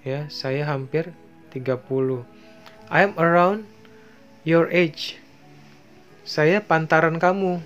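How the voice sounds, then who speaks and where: A man's voice: a little high, steady tone, fairly bright tone, soft background music. A man with an Indonesian accent teaching basic English phrases over slides, reading each phrase and translating it, with frequent fillers. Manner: slow, explains each phrase then translates it, with many fillers. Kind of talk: bilingual lesson over slides.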